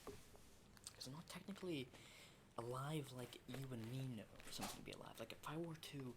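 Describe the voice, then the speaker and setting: A man playing a battle-hardened, rattled survivor of a zombie apocalypse in an ASMR roleplay, rambling and swearing in hushed, halting speech. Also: mid-range voice, background noise, natural voice. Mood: uneasy, explanatory.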